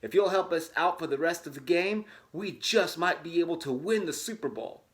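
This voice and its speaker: A man reads the part of an encouraging storybook football star. He urges on a young teammate earnestly, in a slightly high voice. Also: lively intonation, moderate pace, lightly acted. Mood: urging. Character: encouraging adult male football star recruiting a child.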